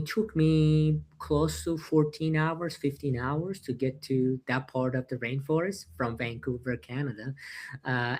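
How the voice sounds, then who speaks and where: Male interview guest, mid-pitched, earnest and reflective, slightly halting English, heard over a video call. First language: Persian. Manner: earnest, with frequent "uh" and non-native grammar slips. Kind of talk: reflective personal storytelling.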